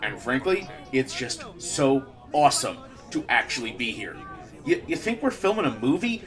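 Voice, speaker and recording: A man's voice: mid-range voice, very animated, normal speed, a bit bright, faint music behind. Man playing a naive, eager, bouncy-voiced newcomer. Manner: chatty, blithely unbelieving. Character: naive, eager man convinced everything is scripted. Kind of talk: comedy web drama acting.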